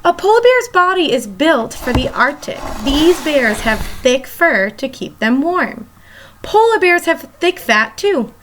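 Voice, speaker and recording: Female elementary teacher, higher-pitched voice, read-aloud for young kids, explains simply and addresses listeners directly. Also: fairly bright tone, slight background noise. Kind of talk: read-aloud of a children's nonfiction book.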